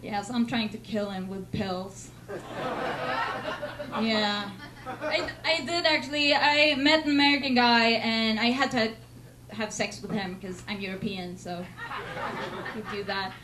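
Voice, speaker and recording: A young woman from Sweden with a Swedish accent, doing a deadpan, self-mocking stand-up set in a comedy club. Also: fairly high pitch, measured pace, pauses often, a crowd in the background. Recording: noisy club recording.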